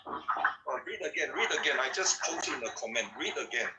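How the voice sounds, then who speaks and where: A man in an online debate speaks in broken, halting phrases and keeps asking for patience. His voice is very bright and sits at an average pitch. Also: lively intonation.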